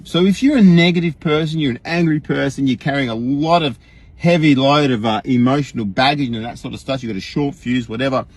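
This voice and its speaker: An Australian man imitating an earnest, rambling online guru in a folksy, emphatic livestream monologue, with a husky voice. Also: medium pitch. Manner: folksy, emphatic, rhetorical questions, frequent chuckles.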